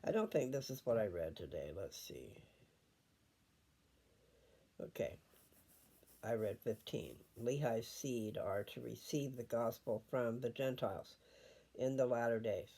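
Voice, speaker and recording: An older man chatting casually and reading scripture at home, unhurried and wandering as he thinks aloud. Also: medium pitch, expressive, warm tone.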